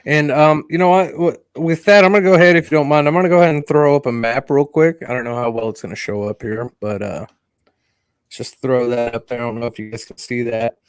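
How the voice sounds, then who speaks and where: A man's voice: mid-range voice, fairly even intonation, fast talker, smooth, no room sound, studio quality. A man co-hosting a casual podcast, talking easily through what he's showing on screen over a video call. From Idaho, US. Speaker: podcast co-host.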